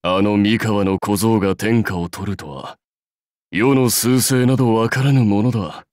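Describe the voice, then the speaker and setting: An actor playing a proud, seasoned samurai warlord in a game, speaking in stately, measured, archaic phrases with wry authority. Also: male voice, fairly low pitch, a little mellow, no room sound, clean studio sound, natural voice. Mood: grave, advising. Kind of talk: scripted game character lines.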